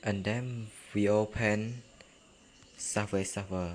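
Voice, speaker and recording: Low-voiced man giving halting tutorial steps. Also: little pitch movement, slight background noise. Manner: halting, plain phrasing, repeats each step in two languages. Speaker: tutorial narrator. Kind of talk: casual step-by-step tutorial voice-over.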